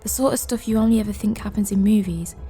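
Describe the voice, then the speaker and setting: Mellow-voiced, knowing young daughter played by a woman. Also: medium pitch, fast, soft background music, close to an everyday voice. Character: knowing young daughter disillusioned with her mother. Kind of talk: dramatic dialogue.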